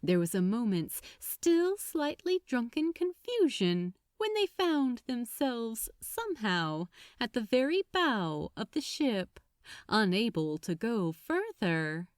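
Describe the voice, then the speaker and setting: A woman reading a story aloud as scripted narration, in a measured, expressive manner. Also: a little high, smooth, no room sound, clean studio sound. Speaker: audiobook-style fan fiction narrator.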